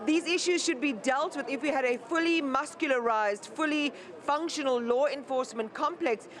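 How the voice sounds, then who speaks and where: A woman's voice: fairly high pitch, slight background noise. A woman anchoring a live news interview, formal and articulate, with frequent fillers.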